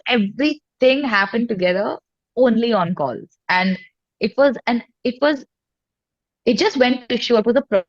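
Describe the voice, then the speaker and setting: A woman chatting casually and candidly as an interview guest, telling stories informally. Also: on the high side. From India. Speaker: singer-songwriter guest. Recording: dull lo-fi audio.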